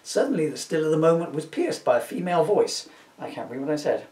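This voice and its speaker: A middle-aged man reading a comic story aloud in an animated storytelling style. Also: fairly high pitch, fast talker. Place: small room at home. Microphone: camera a couple of metres away. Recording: roomy, distant camera microphone.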